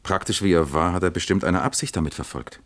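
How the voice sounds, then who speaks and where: An actor playing a probing, dry-witted East German police captain in a radio crime drama, musing and questioning deliberately. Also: male voice, sits low. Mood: thoughtful, probing.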